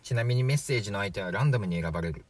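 A man's voice: lowish voice, expressive, very fast, a little hiss or hum, lightly acted. A man playing a chatty, flippant 43-year-old from the future in a radio drama, glib and matter-of-fact. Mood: explaining, casual. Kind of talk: amateur radio drama acting.

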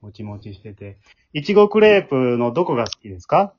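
抑揚豊かな男性司会者、こもった音. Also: male voice, medium pitch, big pitch swings, fast talker, slight background noise. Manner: encouraging, repeats the children's answers back. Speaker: host of an amateur drama group's aftertalk. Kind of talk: casual hosting, interviewing children. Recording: muffled, band-limited sound.

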